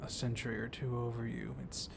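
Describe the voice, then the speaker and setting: A man voicing a civil, softly dominant, centuries-old vampire librarian in an ASMR roleplay, hushed and often slipping into whispers. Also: medium pitch, fairly quick. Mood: soft, flirtatious. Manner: hushed, breathy, often whispering, teasing with soft chuckles. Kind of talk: ASMR roleplay, intimate one-sided dialogue. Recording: noisy storm ambience behind voice. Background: thunder and steady ambient noise.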